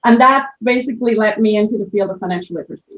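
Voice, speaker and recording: A woman's voice: medium pitch, rapid-fire. A woman from Switzerland with a Swiss accent, giving a methodical conference presentation on financial literacy over a video call. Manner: explanatory, methodical, with frequent restarts. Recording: muffled lo-fi video call audio.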